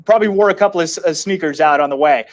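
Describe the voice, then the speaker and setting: A man's voice: a little high, rapid-fire. A man with an American accent hosting an interview in a chatty, informal, conversational way. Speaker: interview host.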